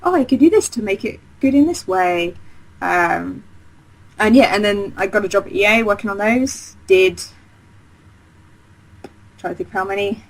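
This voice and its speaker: A woman in her thirties recounts her path in a casual interview. She talks enthusiastically and rambles, full of fillers and restarts. Her voice sits at a middling pitch. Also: normal speed, pauses often, poor audio. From Essex, England. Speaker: game designer guest.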